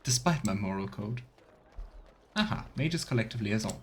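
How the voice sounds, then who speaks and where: A man's voice: fairly low pitch, lively intonation, normal speed, a little hiss or hum. A man streaming a fantasy role-playing game, reading text aloud and chatting in a playful, self-questioning way.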